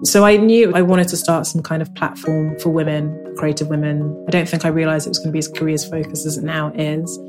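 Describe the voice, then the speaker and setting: A woman's voice: sits low, a little fast, hardly any pauses, over music. A young woman, born in Nigeria, talking reflectively in an interview and leaning on fillers like "kind of". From Nigeria, raised in South London. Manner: relaxed, many fillers like "kind of". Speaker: interviewee, writer.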